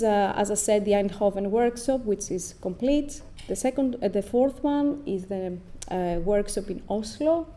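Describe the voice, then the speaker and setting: A woman in her thirties with a Greek accent giving a conference presentation as an academic, explaining in a halting, self-correcting way. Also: mid-range voice, warm tone. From Greece. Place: indoor stone-walled venue, at a lectern. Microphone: lectern microphone.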